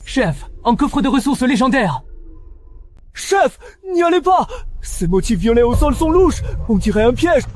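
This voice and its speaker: A woman voicing a brash, excitable young adventurer in a dubbed French animated series. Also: sits high, a little slow, soft background music. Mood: alarmed.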